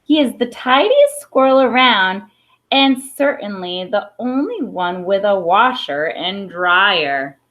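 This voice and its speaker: A woman reading a children's picture book aloud expressively, with pauses for emphasis and small asides. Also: mid-range voice, animated. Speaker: storybook reader. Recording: dull, lo-fi sound.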